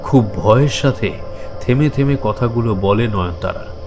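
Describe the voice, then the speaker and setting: A man's voice: mid-range voice, a little slow, a little mellow, faint music behind. Guy reading a scary story, mid voice, theatrical, builds suspense. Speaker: narrator. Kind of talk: dramatic scripted horror story narration.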